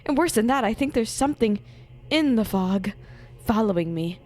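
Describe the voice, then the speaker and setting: Playful, animated woman streaming a game. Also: a little high, moderate pace. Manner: jokey, playful, theatrical, swears casually. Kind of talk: live game commentary, reading dialogue aloud. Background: game music.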